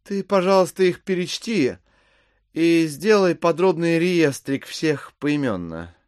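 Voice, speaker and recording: An actor playing a smooth, calculating gentleman visitor in an audio drama, measured, deferential and careful with words. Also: a little high, lively intonation, no echo, studio quality. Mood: careful, probing.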